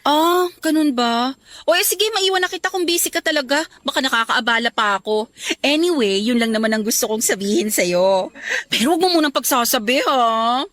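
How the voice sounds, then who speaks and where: A woman's voice: high voice, lively intonation, normal speed, a bit bright, no echo. A Filipino woman playing a chatty, clingy young bride-to-be in a Tagalog radio romance drama, gushing and teasing. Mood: friendly.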